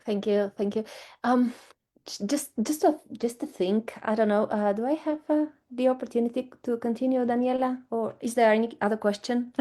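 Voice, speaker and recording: Woman, mellow voice, asks a long question in halting english. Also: fairly high pitch, steady tone. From Bulgaria. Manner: searching for words, light laughs. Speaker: conference audience member asking a question. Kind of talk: academic Q&A question.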